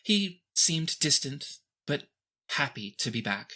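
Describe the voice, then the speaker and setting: A performer voicing a young teenage princess who is gentle, guarded and composed, with formal, measured phrasing in a fantasy tabletop game. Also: medium pitch, big pitch swings, voice pushed up, exaggerated voice. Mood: reserved, formal.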